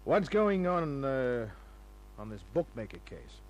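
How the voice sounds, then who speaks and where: An actor playing a hard-boiled private detective, laconic, breezy and sardonic, in the manner of old-time radio drama. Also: male voice, medium pitch, very animated, slow. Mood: casual, needling. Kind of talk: old-time radio acting and first-person narration. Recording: noisy humming old radio recording.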